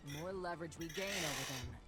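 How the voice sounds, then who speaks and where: A woman's voice: sits low, quick pace, close to an everyday voice. A woman playing a stern, mistrustful field commander in a video game, speaking in a clipped, commanding, scornful way. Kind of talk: scripted video game dialogue. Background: game music.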